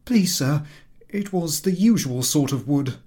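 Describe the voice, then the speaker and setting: A man's voice: fairly high pitch, animated, unhurried, mellow, husky, pitched above the performer's own voice. A man voicing an embarrassed, reluctant schoolboy answering his teacher with hesitant, polite replies.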